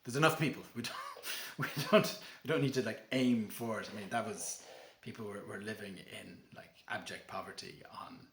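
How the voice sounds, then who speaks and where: A young Irish man talks about poetry in a relaxed, halting way, full of ums and restarts. His voice sits fairly low. The room gives it a noticeable echo. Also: gravelly, a little hiss or hum. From Ireland. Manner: relaxed, many fillers and restarts. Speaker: book channel host. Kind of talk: casual talk and poetry reading.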